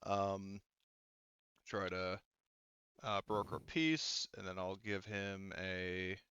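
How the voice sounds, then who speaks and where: Male game master, casual narration, rambling, jokey, profane, smooth voice, dry muffled sound. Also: sits low, slow pace, sharp tone.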